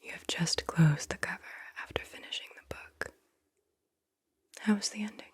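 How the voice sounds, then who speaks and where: Breathy whispering ASMR woman. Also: mid-range voice, dry recording. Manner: soothing, slow and deliberate, repeats words softly. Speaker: ASMR host guiding visualization exercises. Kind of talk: whispered ASMR guided visualization.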